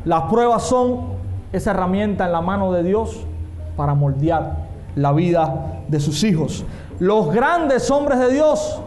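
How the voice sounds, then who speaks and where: A man's voice: high-pitched, darkish tone. A man preaching a sermon, emphatic and often asking the congregation questions. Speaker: pastor. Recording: echoey room with faint noise.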